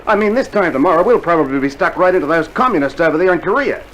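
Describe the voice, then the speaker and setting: An actor with an Australian accent playing a blunt, stubborn army soldier in an old radio drama. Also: male voice, a little high, lively intonation, quick pace, a bit bright. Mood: irritated. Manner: grumbling, dismissive. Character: blunt, unimpressed Australian soldier, stubborn literal-minded mate. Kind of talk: 1960s radio drama acting. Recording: vintage vinyl radio play, noisy background.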